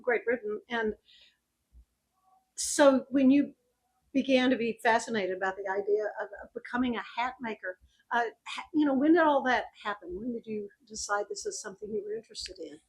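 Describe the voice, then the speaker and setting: Woman talk-show host; friendly, encouraging interviewer, slightly high and breathy voice. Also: brisk, poor audio.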